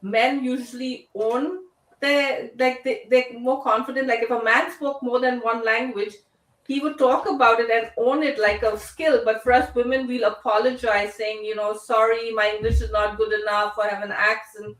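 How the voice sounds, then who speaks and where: Woman, higher-pitched voice, discussion host, conversational, halting restarts, strong room echo, distant mic. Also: fairly even intonation, a bit bright. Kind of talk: casual live group discussion hosting. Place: indoor room on live online video call. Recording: echoey room, distant microphone.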